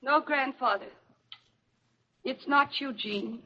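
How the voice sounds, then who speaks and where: Tormented young heroine, 1940s radio horror, melodramatic, high and breathy, muffled vintage sound. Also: female voice, takes it slowly, background noise. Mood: haunted, despairing. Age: young adult. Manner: intense, melodramatic. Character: tormented young granddaughter who believes herself a born killer.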